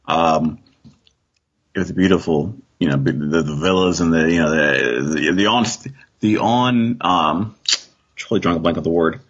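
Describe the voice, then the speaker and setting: A man's voice: sits low, moderate pace, fairly bright tone, husky. A man chatting casually as a podcast guest, hesitant and thinking aloud, on dull lo-fi call audio. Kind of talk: casual fan discussion.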